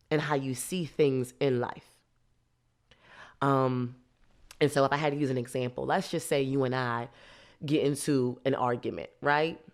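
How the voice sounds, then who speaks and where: A woman, hosting a podcast and explaining ideas in a lively, laughing, casual way. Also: very deep voice. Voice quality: nasal. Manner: lively, laughs a lot, teasing. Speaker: podcast host. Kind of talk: casual teaching talk.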